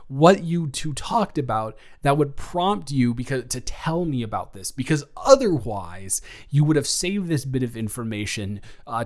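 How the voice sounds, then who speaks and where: A man's voice: mid-range voice, natural voice. A man playing a young, teasing, easily flustered best friend in an improvised audio roleplay, joking and rambling with stammered restarts. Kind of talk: improvised audio roleplay, casual one-sided conversation.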